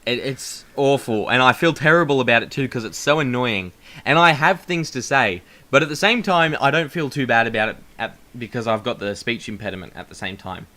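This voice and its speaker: A young man hosting a casual podcast chat, with a loud, projecting voice and many fillers and restarts. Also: medium pitch, animated, a little fast. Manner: frequent fillers and restarts, laughs at himself. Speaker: podcast host.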